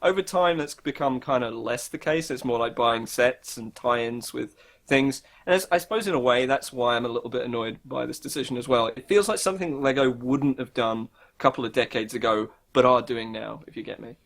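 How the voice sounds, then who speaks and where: A man's voice: medium pitch, a little fast, a bit bright. A man in a casual, opinionated discussion as a podcast host, thinking aloud in a rambling, informal way and acting out imagined dialogue.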